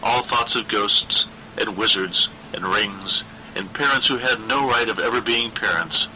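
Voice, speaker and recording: A man reading a dark short story aloud in a measured, wry way. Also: fairly low pitch, slight background noise, rough recording. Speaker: narrator. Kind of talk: scripted story narration.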